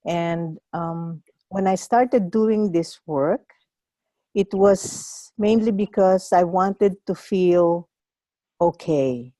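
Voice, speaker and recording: Older Filipino-accented woman, Kapampangan native, slow reflective introduction over a video call, lowish voice. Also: warm tone, dry recording. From Philippines. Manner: reflective, measured storytelling. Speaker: workshop facilitator. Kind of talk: online workshop self-introduction.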